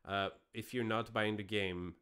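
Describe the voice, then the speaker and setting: A man's voice: fairly low pitch, steady tone, measured pace, sharp tone, clear, resonant tone. A man with a French Canadian accent hosting a casual online debate show, rambling with frequent restarts.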